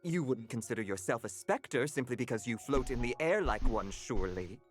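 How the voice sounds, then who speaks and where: A woman's voice: very deep voice, expressive, brisk. A woman voicing a haughty talking butler cat in a video game, formal, pompous and precise. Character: haughty elder butler cat, an alchemical being. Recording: music under the voice.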